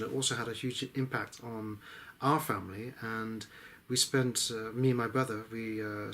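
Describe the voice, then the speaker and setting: A middle-aged man recalls his life story in a thoughtful, unhurried way. He often pauses and hesitates between phrases. His voice sits in a medium register. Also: medium pitch, bright, forceful tone. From England, Exeter. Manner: reflective, frequent hesitations. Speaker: business coach. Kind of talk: personal life story told to camera.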